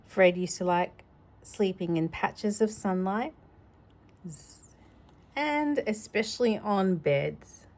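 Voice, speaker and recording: A woman reads a picture book aloud at a very unhurried pace, in a mid-pitched voice. She is gentle and conversational, drifting between the text and her own informal asides to a child. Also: expressive. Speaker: storybook reader.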